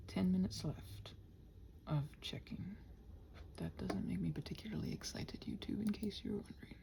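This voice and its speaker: A woman's voice: on the low side, moderate pace, gravelly, whispered. A woman in a casual, unscripted ramble, chatting playfully to listeners and muttering to herself in a scattered way as she works. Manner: playful, chatty, talks to her computer. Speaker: ASMR audio creator rambling to listeners.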